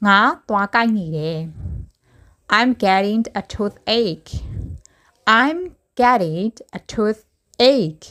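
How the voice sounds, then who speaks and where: Woman language teacher, measured drill delivery, mid-pitched voice, each phrase said slowly and repeated. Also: animated, a bit bright. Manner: slow, deliberate, word-by-word repetition. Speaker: English teacher.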